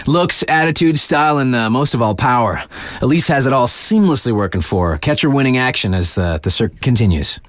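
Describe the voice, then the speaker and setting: A cocky young radio DJ from a snowboarding video game. His mid-pitched voice swings widely through slangy, playful hype, with swagger in every line. The sound is dry and close, like a studio booth. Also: no echo. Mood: cocky, teasing. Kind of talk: hyped radio sports spotlight patter.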